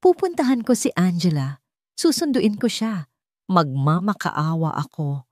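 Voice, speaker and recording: A woman voicing an anguished, remorseful grown son in an audio drama, halting and desperate. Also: on the low side, very animated, no room sound, studio quality.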